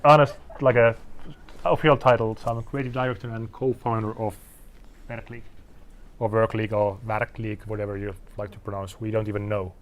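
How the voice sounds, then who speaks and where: A Finnish man in his forties, a designer giving a casual talk with slides to an audience, halting and self-deprecating, clearing his throat often. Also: on the low side, level tone, muffled recording. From Finland. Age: 40s. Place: event venue in front of an audience.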